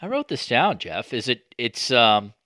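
A male podcast host with a bright, forward voice in a very dry recording. He asks his questions in a casual, wordy way, circling back to rephrase as he goes. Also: mid-range voice, sing-song, measured pace, no echo. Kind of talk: casual sports media interview.

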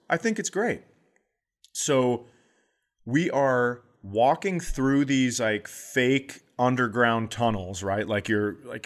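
Man, middle-aged, comedian, podcast co-host, animated storyteller, mid-pitched voice. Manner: animated, acts out voices in his stories.

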